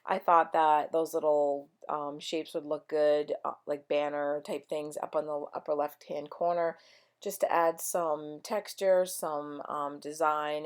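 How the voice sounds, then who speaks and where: A woman with a voice she says is going hoarse, chatting casually through a scrapbooking tutorial with lots of ums. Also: low voice. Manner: rambling, chatty, many ums. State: losing her voice. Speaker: scrapbooking vlogger. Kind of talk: casual craft tutorial chat.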